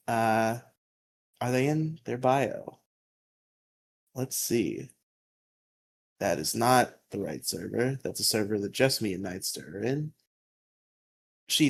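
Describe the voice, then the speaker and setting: A man with a fairly high voice, co-hosting a podcast in casual chat, laughing often, on a headset mic. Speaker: podcast co-host.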